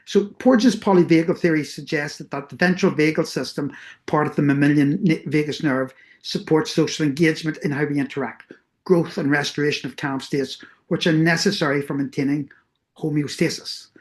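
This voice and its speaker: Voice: medium pitch, steady tone, a little fast. Earnest Northern Irish presenter. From Northern Ireland. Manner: earnest, explanatory, self-correcting. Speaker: therapist presenting doctoral research. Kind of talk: academic workshop presentation.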